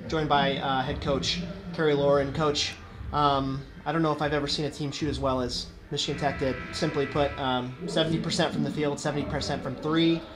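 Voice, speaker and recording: A man's voice: fairly high pitch, level tone, a little fast. A man acting as postgame interviewer, recapping sports numbers and putting questions to a coach. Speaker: press conference interviewer. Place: indoor press conference room, off camera. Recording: faint background noise.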